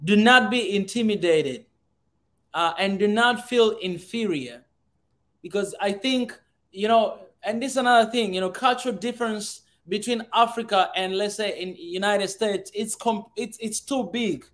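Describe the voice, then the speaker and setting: A man's voice: high-pitched, normal speed, a bit bright. A Tanzanian man whose first language is Swahili, hosting an open conversation in an earnest way and repeating words as he thinks. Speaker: host. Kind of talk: casual conversation.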